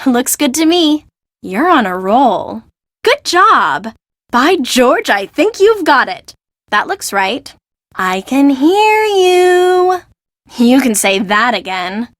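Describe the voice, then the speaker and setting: A woman voicing an exasperated, bossy young assistant to an eccentric fashion designer in a video game, brisk, expressive and sarcastic. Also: fairly high pitch, no room sound, studio quality, heavily acted. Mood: encouraging, then calling out, impatient.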